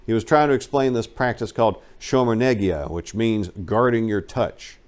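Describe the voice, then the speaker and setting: A man narrating a first-person memoir as a dramatic reading, with emphatic storytelling and some drawn-out phrases. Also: fairly low pitch, smooth. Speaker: narrator reading a book aloud.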